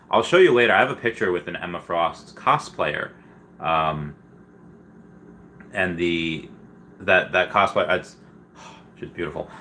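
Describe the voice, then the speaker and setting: Deep-voiced man chatting on a livestream. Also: fairly bright tone, slight background noise. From Peru. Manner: rambling, stop-start, slangy. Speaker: livestream host. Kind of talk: casual livestream chat, reading viewer comments.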